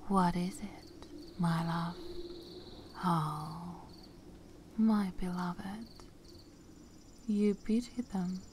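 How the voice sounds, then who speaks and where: A woman playing a seductive, vengeful vampire mistress in an ASMR roleplay, cruel yet loving, speaking in a breathy, often whispered voice with theatrical pauses. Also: fairly low pitch, fairly even intonation, darkish tone, slight background noise. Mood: mocking, confessing. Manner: slow, theatrical, with long pauses.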